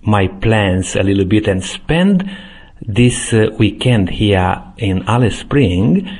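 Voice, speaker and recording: Deep-voiced interview host. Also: male voice, animated, a little mellow, breathy edge. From Romania. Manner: halting, filler-heavy questions. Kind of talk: hosting an interview.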